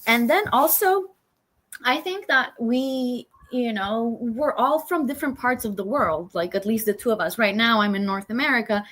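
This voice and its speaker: A woman's voice: a little high, moderate pace, fairly bright tone, a little hiss or hum. A woman host from Romania, chatting enthusiastically in a casual interview, with lots of fillers.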